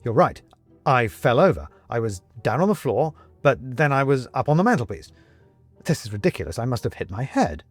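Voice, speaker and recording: A man voicing a confused, agitated gentleman who keeps losing his memory, stammering and growing frantic. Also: medium pitch, big pitch swings, fairly quick, breathy edge, faint music behind, pitched above the performer's own voice. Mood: bewildered. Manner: stammering, flustered, demanding. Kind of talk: audiobook character reading.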